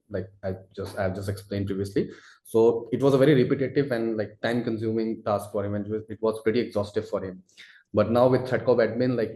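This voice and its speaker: A man presenting a software product in a webinar, with a halting, informal delivery full of verbal tics. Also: on the low side. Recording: echoey room sound.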